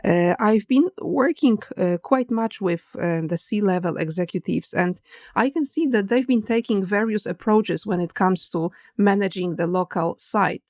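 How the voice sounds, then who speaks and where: A woman's voice: medium pitch, unhurried, dry recording. Woman podcast host, dry close sound. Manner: warm, laughs along, many fillers. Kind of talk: conversational interview.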